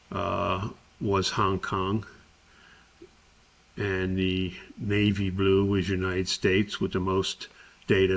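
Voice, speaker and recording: A man giving an informal software tutorial, thinking aloud in a halting way as he explains each step. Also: deep voice. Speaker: tutorial presenter. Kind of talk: informal software screencast walkthrough.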